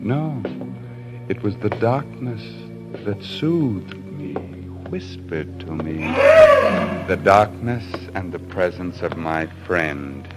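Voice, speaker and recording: A man's voice: deep voice, expressive, slow. An actor playing a tormented, menacing hunter husband losing his sanity, in a theatrical, intense old-time radio thriller style. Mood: brooding, trancelike. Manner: theatrical, sarcastic, brooding, intense. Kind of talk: old-time radio thriller acting and first-person narration. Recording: old radio recording with music behind.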